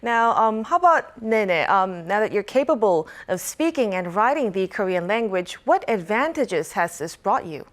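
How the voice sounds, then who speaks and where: A woman's voice: medium pitch, sing-song, moderate pace, hardly any pauses. Polite woman interview anchor. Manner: polite, prompting questions. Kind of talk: broadcast interview hosting.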